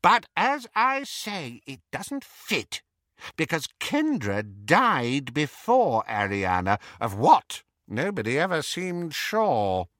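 A man doing a shrill, cackling old witch. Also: big pitch swings, takes it slowly, fairly bright tone, no room sound, clean studio sound, full character voice. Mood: gossiping. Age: older. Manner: cackling, needling, high-pitched. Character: shrill gossiping old witch, spiteful and relishing.

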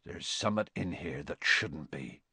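A man voicing a big, gruff, protective gamekeeper in a storybook, with blunt rustic grammar and a wary manner. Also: mid-range voice, very animated, normal speed, sharp tone, no echo, exaggerated voice. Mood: tense, alarmed. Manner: dialect grammar, urgent warnings, blunt.

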